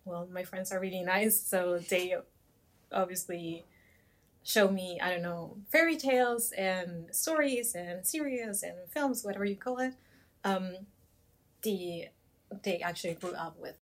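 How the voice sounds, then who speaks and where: A woman's voice: lowish voice, unhurried. A young woman from Peru chatting casually about her feelings, thinking aloud with frequent fillers. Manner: thoughtful, many fillers and hedges. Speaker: discussion participant. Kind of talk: casual conversation.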